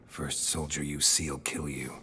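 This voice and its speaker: An actor playing a gruff, stoic middle-aged monster hunter in a video game, with gravelly, terse, deadpan delivery. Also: male voice, unhurried, crowd noise. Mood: dry, sardonic. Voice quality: gravelly, low. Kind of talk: video game cutscene acting.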